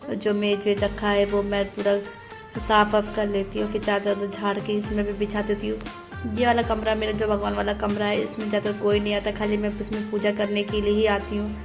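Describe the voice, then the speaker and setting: A young woman homemaker narrating her daily chores in a steady, matter-of-fact voice-over, step by step. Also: mid-range voice. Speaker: homemaker vlogger. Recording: voice-over with loud background music.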